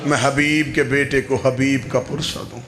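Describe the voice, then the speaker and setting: A man's voice: medium pitch, flat delivery, moderate pace, natural voice. A man voicing a grieving captive noble lady, wailing and pleading through tears in a noisy live recording.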